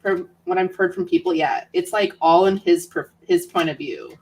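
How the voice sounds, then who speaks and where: Woman chatting casually, halting and tentative. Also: on the low side, steady tone, a little mellow, breathy edge, rough recording. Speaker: co-host of a book readalong livestream. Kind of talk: casual chat about books.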